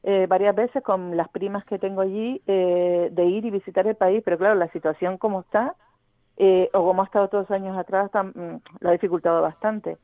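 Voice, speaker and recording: A woman's voice: mid-range voice, fairly even intonation, brisk, no room sound. A woman novelist from Gran Canaria, answering radio interview questions thoughtfully, with many fillers and restarts. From Gran Canaria, Spain. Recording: dry, tinny phone-like line.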